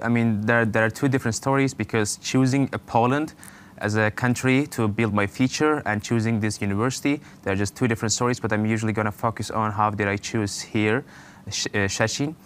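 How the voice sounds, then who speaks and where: A man's voice: lowish voice, a little hiss or hum. A man in his twenties with a Turkish accent, answering interview questions in a hesitant way, with many fillers and restarts. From Turkey. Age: 20s. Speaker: guest.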